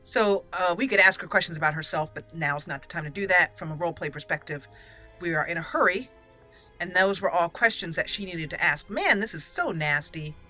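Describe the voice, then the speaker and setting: A woman gaming host with a bright, forward voice. She talks through a roleplay let's play in a chatty way, with game music behind her. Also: fairly low pitch, a little fast.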